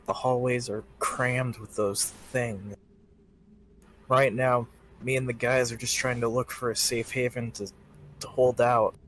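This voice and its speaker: A man's voice: medium pitch, level tone, a little slow, fairly bright tone, lightly acted, poor audio. A man playing a weary, guarded starship chief engineer surviving a disaster in a sci-fi game roleplay, plain-spoken and grumbling. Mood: hushed, worried. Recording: noticeable background noise and ambience.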